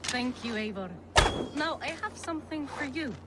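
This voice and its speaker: A woman's voice: high voice, animated, moderate pace, very noisy. An actress voicing an eager, polite young female Norse tattooist in a video game. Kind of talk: video game character acting.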